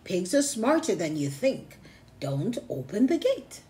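A woman reading aloud and voicing a stern, exasperated father who gives warnings and shouts orders. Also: medium pitch, sing-song, a little slow.

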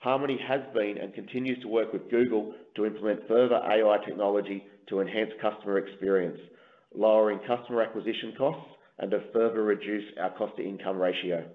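A man, a company executive, giving a formal, measured, scripted investor presentation over a conference call line. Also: fairly low pitch, phone-quality sound.

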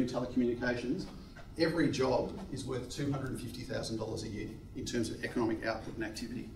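A man presenting slides in a seminar room with a handheld mic, brisk and upbeat. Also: medium pitch, echoey room, distant mic, slight background noise, poor audio.

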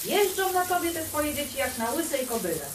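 A woman's voice: high voice, very animated, fairly quick, noisy background. An actress playing a brash, outspoken middle-aged grandmother in an audio play, blunt and nagging. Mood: bossy, impatient.

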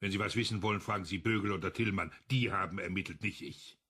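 An actor playing a bitter, stubborn older retired police chief in a radio crime drama, curt and commanding. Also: male voice, sits low, quick pace, pushed and bright, husky, full character voice. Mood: curt, irritable. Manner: curt, irritable.

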